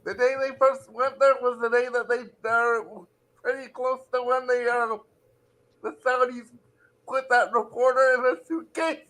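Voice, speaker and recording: A man on a casual podcast dropping wry, quick quips. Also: very high-pitched, fairly even intonation, bright, forceful tone, rough recording.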